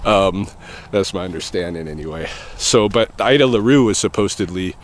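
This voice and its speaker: A man with a fairly low voice talks through his thoughts as he walks outdoors in the evening. His delivery is loose and meandering, full of pauses and small chuckles. Faint street traffic hums in the background. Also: lively intonation. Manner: rambling, thinking aloud with many pauses and soft chuckles. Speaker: vlogger answering a viewer question. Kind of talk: casual walking vlog.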